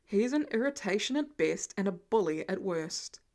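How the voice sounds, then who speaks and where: A woman's voice: medium pitch, sing-song, normal speed, a little mellow, pitched below the performer's own voice. A woman voicing a haughty, protective rich teenage boy who grumbles in a clipped, disdainful way. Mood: annoyed.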